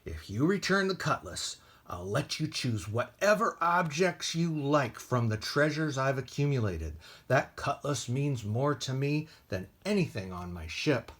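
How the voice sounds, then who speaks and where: A man voicing a polite, bargaining sea captain from a storybook, measured and earnest. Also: medium pitch, big pitch swings, a bit bright.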